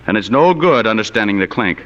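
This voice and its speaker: An actor playing an unflappable, sardonic, slangy hard-boiled private detective in 1940s radio acting style. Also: male voice, lowish voice, sing-song, a little fast, fairly bright tone. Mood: sardonic accusation. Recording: dull vintage radio recording, long hall reverb.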